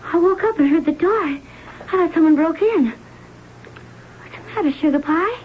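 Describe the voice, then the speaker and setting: High-voiced, hard-boiled radio drama mistress. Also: female voice, moderate pace. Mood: startled. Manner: slangy, familiar, hard-boiled. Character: worldly, scheming young mistress, gangster's daughter. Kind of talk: 1970s radio drama acting. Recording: tinny, noisy old radio broadcast.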